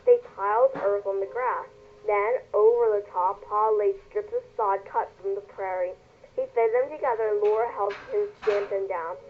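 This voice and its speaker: Through a thin, telephone-like connection, a female reader reads a children's novel aloud. Her delivery is careful, with occasional stumbles and corrected words. Her pitch is average for a woman. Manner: careful reading with word stumbles and self-corrections. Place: remote call. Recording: tinny, narrow phone-like call audio.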